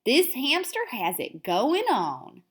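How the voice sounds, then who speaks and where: A woman voicing a cocky, excitable male pet hamster telling his diary in a children's storybook, with emphatic, theatrical delivery. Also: high voice, slow pace, a little hiss or hum, full character voice. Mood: pleased. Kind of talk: first-person children's storybook read-aloud.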